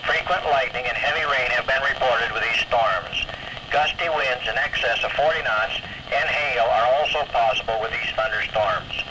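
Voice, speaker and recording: A man's voice: medium pitch, normal speed. American male announcer through heavy radio noise. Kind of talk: scripted marine warning reading. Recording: very noisy 1979 weather radio recording.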